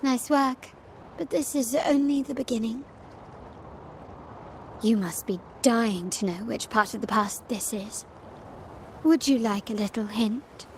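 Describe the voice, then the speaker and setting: Slow, mocking female doppelganger. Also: fairly high pitch, slight background noise. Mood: gloating. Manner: mocking, theatrical, slow and teasing. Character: taunting female doppelganger born of a noblewoman's memories. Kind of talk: video game voice acting.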